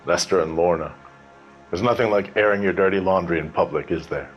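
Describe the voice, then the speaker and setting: A man's voice: low-pitched, a little fast, muffled recording, soft background music, natural voice. A man playing a guarded, working-class bar manager in a 1940s crime game, with a dry, offhand, evasive manner. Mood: wry. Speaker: bartender. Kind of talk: scripted video game dialogue, 1940s crime drama.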